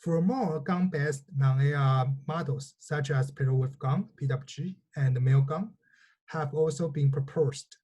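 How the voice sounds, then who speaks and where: Male lecturer, native Mandarin speaker, measured explanatory delivery, mellow tone. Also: a little high, husky, low-quality recording. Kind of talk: technical lecture.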